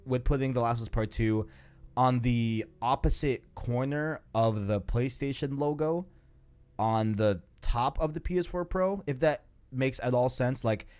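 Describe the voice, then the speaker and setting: A man's voice: mid-range voice, a little slow, a little mellow, smooth. A man chatting informally and ramblingly as host of a gaming podcast, with slangy asides. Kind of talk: casual gaming podcast chat.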